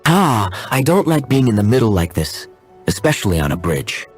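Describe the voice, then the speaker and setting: A man voicing a wry, smooth-talking swordsman in a video game, with a teasing manner. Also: medium pitch, very animated, normal speed, faint music behind, natural voice. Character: wry, smooth-talking ice-wielding swordsman, adult male.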